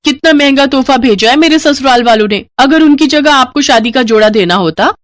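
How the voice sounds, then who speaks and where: A woman's voice: high-pitched, animated, brisk, no room sound, voice pushed up. A woman voicing a vain, scornful young sister in a melodramatic moral story, with a whiny, disdainful manner. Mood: boastful. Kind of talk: melodramatic storybook character acting.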